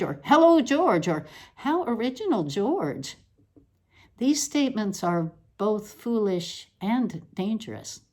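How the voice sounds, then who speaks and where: Female literary reader, lower register, deliberate and declamatory, scripted dramatic delivery with emphatic repetition. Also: darkish tone.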